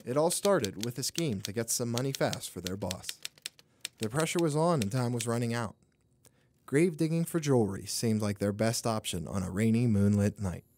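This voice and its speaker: A man's voice: mid-range voice, normal speed, a little mellow. A man narrating a scripted audio theater story. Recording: clearly noisy background with clicks.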